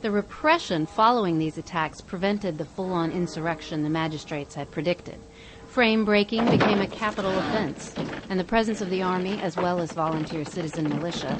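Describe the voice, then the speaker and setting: A woman reading scripted documentary narration for a podcast in a measured way. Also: lowish voice, noisy background.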